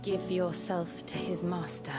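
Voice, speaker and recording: A woman's voice: on the low side, flat delivery, unhurried, over loud music, lightly acted. A woman playing an earnest, brave young companion in a video game. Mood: soft, worried. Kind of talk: dramatic video game cutscene acting.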